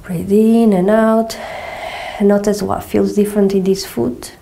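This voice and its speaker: A middle-aged Portuguese woman with a Portuguese accent, gently guiding a floor movement exercise with slow, calming cues and frequent check-ins. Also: medium pitch, gravelly, slight background noise, poor audio. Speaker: movement teacher. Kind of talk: guided somatic exercise instruction. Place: small carpeted room indoors.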